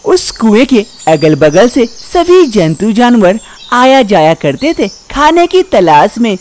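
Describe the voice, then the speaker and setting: A woman's voice: a little high, sing-song, slow, darkish tone. A woman narrating a scripted animal fable for children as a storyteller.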